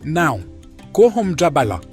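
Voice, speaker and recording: Man as village elder, solemn, authoritative, very varied pitch, folktale, background music. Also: very animated, measured pace. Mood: solemn, benevolent. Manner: advising, authoritative. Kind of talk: acted folktale dialogue.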